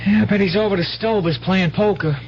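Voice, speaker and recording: Man playing a high-voiced country teenage boy. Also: fairly quick, close to an everyday voice. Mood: bitter. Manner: rustic, hesitant, youthful speech. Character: protective, resentful country teenage son, eager and earnest. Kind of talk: 1970s radio drama acting. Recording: muffled old radio broadcast, faint hum.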